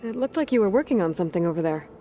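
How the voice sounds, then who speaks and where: A woman's voice: medium pitch, animated, racing, dark tone, soft background music, lightly acted. A woman playing a young, determined outcast hunter in a video game, speaking plainly with terse, dry humor. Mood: casual. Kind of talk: naturalistic video game acting.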